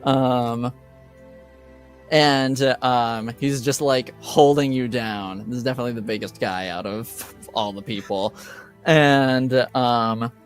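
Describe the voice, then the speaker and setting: A man improvising as game master of a tabletop game, with a halting, hesitant delivery. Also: mid-range voice, faint music behind.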